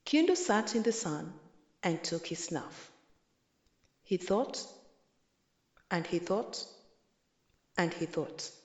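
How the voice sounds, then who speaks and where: A woman narrates a children's story in a roomy, echoing space. Her delivery is unhurried and deliberate, with a very animated melody that swings up and down. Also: on the low side. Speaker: storyteller reading a children's book. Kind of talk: expressive read-aloud children's story narration. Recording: echoey, roomy sound.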